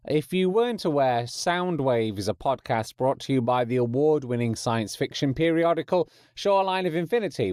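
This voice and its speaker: A man's voice: medium pitch, a little mellow, clear, resonant tone, no echo, clean studio sound. A man hosting a podcast, reading informal promotional announcements with wry, jokey asides. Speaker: podcast host.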